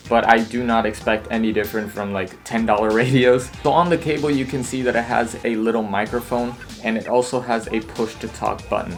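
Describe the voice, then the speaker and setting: A young man hosting a casual product review vlog, speaking to viewers. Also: medium pitch. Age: young adult. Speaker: host reviewing gear. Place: home room studio, later outdoors on a street.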